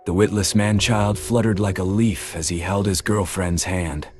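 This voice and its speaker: A man narrating a mystery audiobook in a measured storytelling way. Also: low voice, steady tone, slight background noise. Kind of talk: scripted narration.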